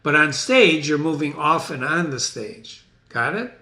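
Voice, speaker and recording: A man's voice: on the high side, very animated, a little slow, bright, forceful tone. A man guiding a dramatic reading with chatty explanations and casual asides, checking in with the listener. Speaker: reader and guide to the play. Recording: echoey room.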